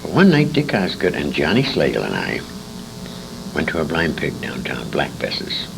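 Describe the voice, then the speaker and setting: A man's voice: very deep voice, sing-song, moderate pace, creaky. An older man casually reminiscing as an interviewee, rambling and emphatic, with a salty, bawdy storytelling manner. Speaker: interviewee, old-time radio veteran. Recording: noisy amateur interview recording.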